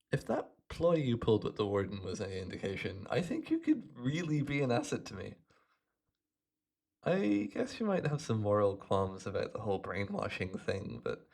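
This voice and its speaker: A man voicing a smug, playful hypnotist supervillain in an ASMR roleplay, gloating and teasing the listener in a gravelly voice. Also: mid-range voice. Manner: gloating, teasing, theatrical, swooping between murmurs and exclamations.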